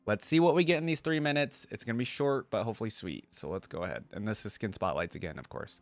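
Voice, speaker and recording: A man's voice: lowish voice, expressive, fast talker, dry recording. A man hosting a reaction video in an informal, chatty way, thinking aloud as he comments.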